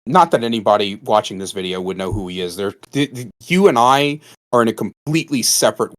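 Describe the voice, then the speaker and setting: Animated, blunt man in casual chat. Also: lowish voice, fairly quick. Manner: blunt, sweary, emphatic exaggeration. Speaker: podcast participant.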